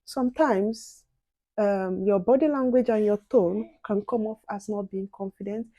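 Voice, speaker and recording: A soft-spoken young woman chatting casually to viewers while doing her makeup. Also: medium pitch, unhurried, dry recording. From outside the UK. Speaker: beauty and advice vlogger.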